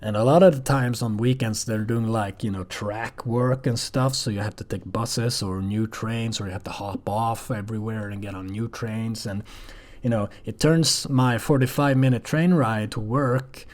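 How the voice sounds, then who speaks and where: A man talking casually in a rambling personal audio log, halting and hesitant, with coarse everyday wording. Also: lowish voice, a little mellow. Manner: hesitant, halting, frequently swearing.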